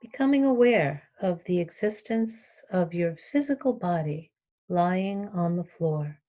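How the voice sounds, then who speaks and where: A woman teaching yoga nidra, guiding a relaxation meditation in calm, short instructional phrases with long pauses between them. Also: on the low side.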